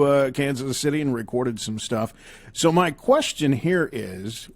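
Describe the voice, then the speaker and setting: A man's voice: mid-range voice, dry recording. A man hosting a music show, interviewing guests and introducing songs. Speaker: radio show host and interviewer.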